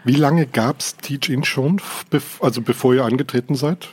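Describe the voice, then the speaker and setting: A man's voice: mid-range voice, moderate pace, darkish tone, slightly rough. A man co-hosting a podcast, chatting casually with short interjections and dry quips. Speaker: podcast co-host.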